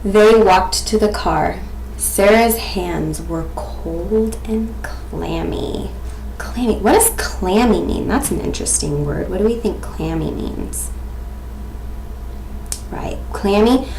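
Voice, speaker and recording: A woman in her twenties presenting like a teacher, expressive and questioning, as in an interactive read-aloud. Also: mid-range voice, slow, vocal fry. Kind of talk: interactive picture-book reading and explanation for children. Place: small domestic room indoors. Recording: echoey room, faint background noise.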